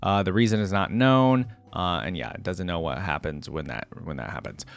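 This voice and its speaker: A man's voice: sits low, normal speed, creaky, clear, resonant tone. A young man hosting a casual reaction video, reading a wiki aloud and stopping to react and chuckle. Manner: chatty and musing, breaks off reading to react, chuckles.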